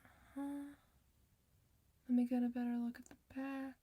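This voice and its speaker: A woman playing a gentle, reassuring clinician in an ASMR medical roleplay, soft-spoken and soothing, sometimes slipping toward a whisper. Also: high voice, little pitch movement, moderate pace, breathy edge.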